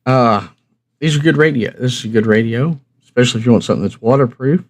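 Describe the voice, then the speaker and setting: A man's voice: mid-range voice, fast talker. A man hosting a hobby live stream, explaining things in a casual, folksy way and answering his own questions. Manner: folksy, conversational, asks himself questions and answers them. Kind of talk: casual instructional livestream talk.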